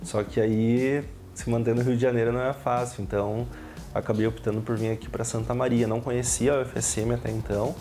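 A man's voice: mid-range voice, level tone, fast, mellow. A man, a law student, speaking matter-of-factly in an interview. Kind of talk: interview testimony.

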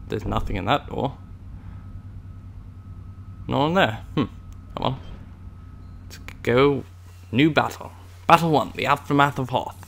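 Animated man with a put-on British accent. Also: medium pitch, gravelly, slight background noise. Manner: hammy, playing a loyal Imperial pilot. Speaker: gaming video host. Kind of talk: playful in-character game commentary.

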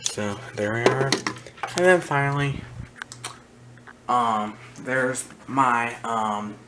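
Male hobby vlogger, slow and halting casual delivery, mid-pitched, recorded on a handheld camera in a small room. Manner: hesitant, halting delivery with trailing asides. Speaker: video host, hobby collector. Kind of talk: casual unscripted show-and-tell narration. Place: small indoor room with wooden shelves. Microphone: handheld camera's built-in mic, behind the camera. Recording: noisy handheld camera audio, faint room noise.

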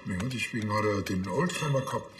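A man's voice: fairly low pitch, fast, fairly bright tone, close to an everyday voice. An actor playing a dry, stubborn policeman with a Bavarian accent in a TV crime comedy. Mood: musing. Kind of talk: naturalistic TV comedy acting. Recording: echoey room, faint noise.

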